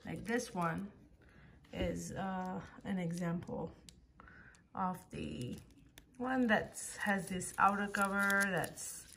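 Slow, gravelly woman showing a plant. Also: on the low side, fairly bright tone, slight background noise. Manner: unhurried, with many fillers and self-corrections. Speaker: home gardener. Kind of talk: casual how-to demonstration. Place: home, at a wooden table indoors.

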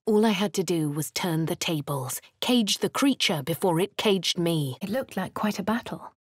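A woman with a posh English accent voicing a refined young adventurer, crisp and composed in game voice lines. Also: lowish voice, expressive, normal speed, no echo, clean studio sound. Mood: narrating. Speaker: voice of a player character. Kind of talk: game voice lines, first-person narration.

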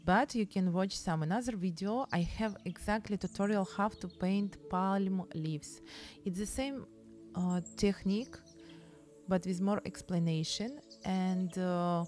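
A woman's voice: medium pitch, measured pace, darkish tone, soft background music. A woman in her thirties with a Ukrainian accent, talking in chatty broken English while filming a travel and painting vlog on her phone. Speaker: painting vlogger. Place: beach outdoors and art studio. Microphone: handheld phone camera at arm's length.

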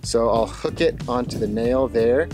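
A man's voice: medium pitch, measured pace, over music. A man hosting a home renovation vlog, plainly explaining each step of a DIY job in a casual way. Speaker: host of a home renovation channel.

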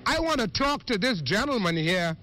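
Guy reporter doing street interviews, high bright voice, slow and easy, bit of traffic noise. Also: very animated. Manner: slow, measured, conversational questioning. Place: outdoors, street. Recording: faint street noise outdoors.

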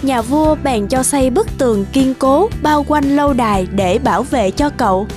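High-voiced woman narrating a fairy tale. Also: normal speed. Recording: music behind.

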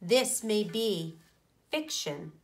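Female teacher, forties; slow, expressive storybook reading, medium pitch. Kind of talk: storybook read-aloud for children. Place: small indoor room.

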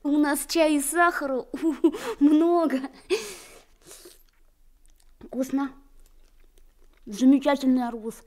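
A woman's voice: sits high, slow pace, long pauses, darkish tone. A woman playing a kind, curious little boy in a radio drama, earnest and innocent. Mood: friendly. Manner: innocent, earnest, simple questions. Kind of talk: Soviet radio drama acting.

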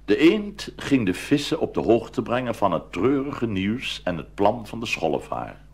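A man telling a fairy tale as a scripted narrator, with a husky, creaky voice. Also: on the low side, sing-song, measured pace. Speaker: storyteller.

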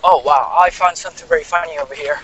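A man in his thirties with a Japanese accent, chatting in halting, friendly English as he guides a live walking tour outdoors on a phone. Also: high voice, huge pitch swings, a bit bright, slight background noise, rough recording. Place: outdoors in a park. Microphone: phone at arm's length on a video call.

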